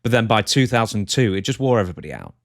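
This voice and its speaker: A man co-hosting a podcast in casual discussion, speaking in a measured, agreeable, conversational way. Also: lowish voice, very animated, vocal fry, no echo, clean studio sound. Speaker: podcast co-host, news reporter of the show.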